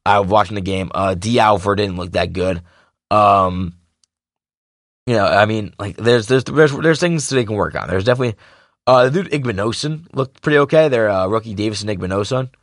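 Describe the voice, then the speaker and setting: A young man with a slightly raspy voice, casually recapping a football game as a podcast host, rambling and correcting himself like an excited fan. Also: fairly low pitch, a little fast, no echo, studio quality. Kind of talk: casual sports recap monologue.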